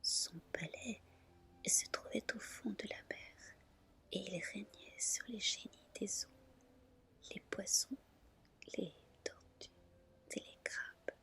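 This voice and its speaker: Whispering woman reading a story very slowly. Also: medium pitch. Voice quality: breathy, unvoiced whisper. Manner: soft, gentle, unhurried. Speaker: ASMR storyteller reading aloud.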